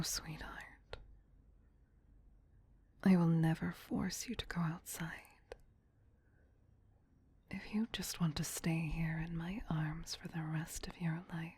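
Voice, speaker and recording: A woman playing a doting, possessive vampire queen in an ASMR roleplay, whispering softly and soothingly in an intimate, coaxing manner. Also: on the low side, steady tone, a little slow. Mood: tender, protective. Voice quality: breathy, soft whisper.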